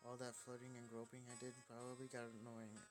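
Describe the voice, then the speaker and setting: A man's voice: mid-range voice, monotone, fairly quick, over music, lightly acted. A man voicing an eager, forgiving young male student in a visual novel, earnest and easygoing with slight hesitation. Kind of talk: visual novel character reading.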